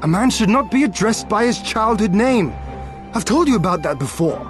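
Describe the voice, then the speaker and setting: A young man acting as a noble exiled warrior lord in a video game, earnest and teasing, with formal, measured, archaic phrasing. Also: medium pitch, lively intonation, soft background music, close to an everyday voice. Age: young adult.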